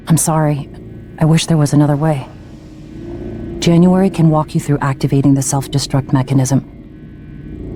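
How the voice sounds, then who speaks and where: A grave, resolute scientist, played by a man in a video game message. The voice is mellow and dark, sober and deliberate, with faint music behind it. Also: fairly high pitch, natural voice.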